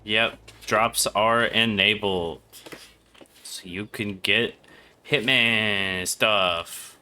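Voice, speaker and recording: A man doing casual livestream commentary over a game, rambling along in a deadpan, joking way. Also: lowish voice, drawn-out words, clear, resonant tone. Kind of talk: casual gameplay stream commentary.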